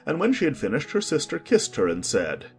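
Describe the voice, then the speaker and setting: A man narrating an audiobook in a calm, measured way. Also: on the high side, faint music behind.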